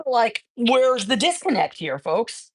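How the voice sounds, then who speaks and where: A man's voice: very high-pitched, very animated, slow pace, slightly rough. A man holds forth on his livestream in a loose, rambling way. He curses freely and trips over his own sentences, circling back to restart his point. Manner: ranting, profane, rambling with many restarts. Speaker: livestreamer and cat rescuer. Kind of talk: casual livestream chat. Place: cat colony.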